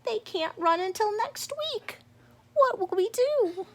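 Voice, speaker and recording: A woman's voice: extremely high pitch, expressive, slow, voice pushed up, full character voice. A woman voicing a fretful, dutiful female rabbit in a children's storybook. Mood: worried. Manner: worried, fussing.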